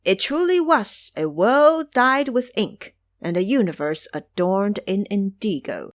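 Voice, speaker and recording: A woman's voice: medium pitch, animated, a little slow. A woman storyteller giving dramatic scripted narration of a fantasy tale.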